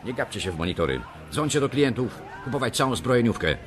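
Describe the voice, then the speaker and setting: An actor playing a ruthless bank team boss in a radio drama, commanding and urgent. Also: male voice, medium pitch, big pitch swings, fast talker, a faint crowd, slight background noise, natural voice.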